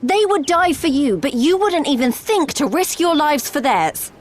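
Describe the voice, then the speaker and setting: A woman's voice: high-pitched, animated, moderate pace. An actress playing an idealistic young female fighter in a video game, plain-spoken and impassioned. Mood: indignant, pleading. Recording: background music.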